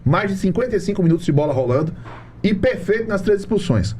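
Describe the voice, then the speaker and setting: A man hosting a live sports talk show, energetic, steering the talk and reading out posts. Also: high voice, fast talker, slight background noise. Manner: energetic, directs the producer, reads posts aloud. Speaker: show host.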